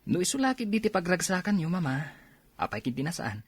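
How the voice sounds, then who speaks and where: A man plays a protective grown son in an Ilocano radio drama. The voice is fairly high for a man and husky, Ilocano-accented, with a dry close sound. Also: sing-song, brisk, no room sound, full character voice. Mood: supportive. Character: protective, supportive grown son of a widowed mother.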